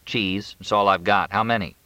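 A man's voice: on the low side, steady tone, normal speed, bright, forceful tone, dry recording. A man voicing a laconic, practical young man who talks in a terse, offhand way in an audiobook. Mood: matter-of-fact.

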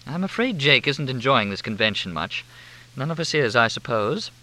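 A woman's voice: a bass voice, sing-song, normal speed, pushed and bright, voice pushed up, exaggerated voice, poor audio. A man voicing an effusive, fluttery middle-aged society hostess. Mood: gushing.

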